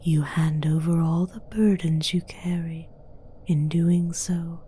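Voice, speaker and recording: A woman playing a seductive, domineering demoness in a breathy, mostly whispered ASMR fantasy roleplay, commanding and coaxing in formal, archaic phrasing. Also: lowish voice, steady tone, takes it slowly, warm tone, exaggerated voice. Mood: persuasive, soft.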